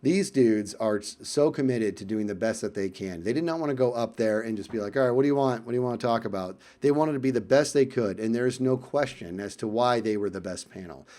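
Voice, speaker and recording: A man casually telling a personal story in vlog style, animated and emphatic, often repeating phrases for effect. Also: mid-range voice, fairly quick, hardly any pauses.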